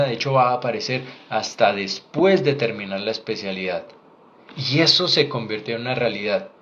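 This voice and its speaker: A Colombian man in his thirties talks straight to his viewers in a frank, earnest way, pressing his points with conviction. His voice sits at an ordinary middle pitch. The recording sounds a little dull, with a faint hiss underneath. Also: a bit bright. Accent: Colombian Spanish. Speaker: doctor sharing career advice. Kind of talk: direct-to-camera advice vlog.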